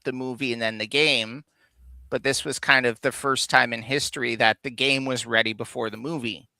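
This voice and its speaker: A man with a very bright, forward voice and crisp, clean tone, recorded close and dry. He co-hosts a geek show, explaining trivia in casual, chatty conversation. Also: mid-range voice, fairly even intonation, moderate pace, dry recording. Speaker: co-host of a live geek countdown show. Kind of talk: casual chat, enthusiastic explaining of film trivia.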